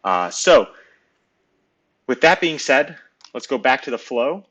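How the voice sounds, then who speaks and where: Man walking through a software tutorial step by step. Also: medium pitch, big pitch swings, takes it slowly. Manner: explanatory, step-by-step, conversational. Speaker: software demonstrator. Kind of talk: screen-recorded tutorial walkthrough.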